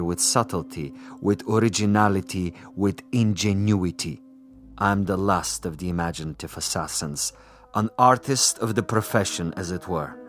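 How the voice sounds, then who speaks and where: An actor playing a suave, boastful assassin commissar in a radio drama, with pompous, precise and silkily polite diction. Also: male voice, low voice. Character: suave, boastful male assassin commissar, coolly menacing connoisseur.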